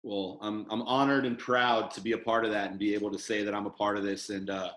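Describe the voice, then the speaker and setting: A man's voice: lowish voice, level tone, quick pace, fairly bright tone. Rambling male podcast host, echoey room. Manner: loose, rambling, with many fillers and restarts. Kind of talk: casual podcast chat. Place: studio headquarters room.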